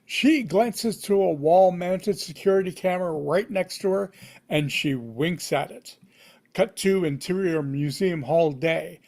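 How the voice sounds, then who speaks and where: A man narrates a screenplay for a table read. His voice sits a bit higher than average for a man. He delivers scene headings and action in short, punchy, dramatic phrases. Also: a little slow, creaky, a little mellow. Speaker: stage-direction reader at table read. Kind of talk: reading screenplay directions aloud.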